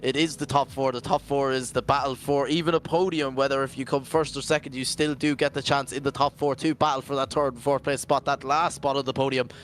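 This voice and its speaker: A Scottish man commentating a virtual drift event in a jokey, rambling way, his voice worn and going hoarse. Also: mid-range voice, flat delivery, fairly quick, hardly any pauses, a little hiss or hum. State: losing his voice. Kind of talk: live esports commentary.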